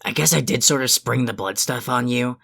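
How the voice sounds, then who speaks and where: A woman plays a gruff young alien troll in an audio drama. The voice sits extremely low and is husky and full of gravel. Delivery is curt, blunt and grudging. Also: brisk, fairly bright tone, exaggerated voice. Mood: gruff, softening.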